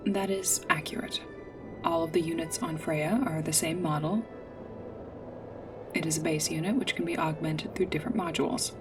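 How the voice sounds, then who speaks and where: A woman playing a polite android guide in a sci-fi ASMR roleplay, speaking in a formal, precise, measured way. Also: low-pitched, far from the mic. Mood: matter-of-fact. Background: music.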